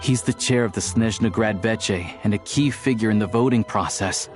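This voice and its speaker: An actor playing a level-headed, earnest young man in a video game, explaining things in a measured way. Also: fairly low pitch, a little mellow, faint music behind, lightly acted. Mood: grave, explaining.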